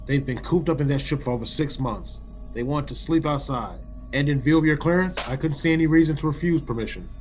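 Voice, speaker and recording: A man playing a stern, practical colonial executive in a classic radio play, speaking with measured authority. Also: fairly high pitch, a little mellow, soft background music, close to an everyday voice, poor audio.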